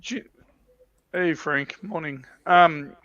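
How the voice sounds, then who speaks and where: Bright, forceful man chatting. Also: a little high, fairly even intonation, slow pace, no room sound, dull audio. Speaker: livestream host. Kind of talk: casual hobby chat.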